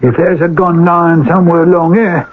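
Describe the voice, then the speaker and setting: An actor playing a respectful, helpful railwayman in a radio mystery drama, hesitant and folksy, with a husky voice. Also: on the high side, steady tone, normal speed, slight background noise, lightly acted. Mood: eager, helpful. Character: deferential, helpful working railwayman, eager to oblige. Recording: lo-fi old radio broadcast recording.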